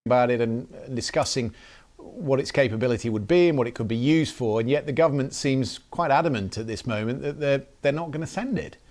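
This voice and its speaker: A man conducting a broadcast news interview, putting probing questions in a somewhat halting way. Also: mid-range voice, darkish tone.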